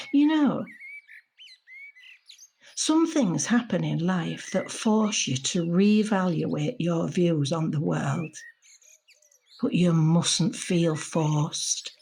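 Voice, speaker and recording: A woman playing a gentle, reflective grandmother in an audio drama, speaking in a measured, heartfelt way, encouraging and tender. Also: a little high, vocal fry, husky, exaggerated voice. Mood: resolute, reflective. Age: older. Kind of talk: audio drama monologue and dialogue. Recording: noticeable background noise with birdsong.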